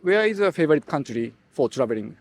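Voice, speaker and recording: A man's voice: fairly high pitch, very animated, fast talker, slight background noise. A man interviewing tourists on a beach with short, simple, enthusiastic questions. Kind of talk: casual street interview. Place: beach, outdoors.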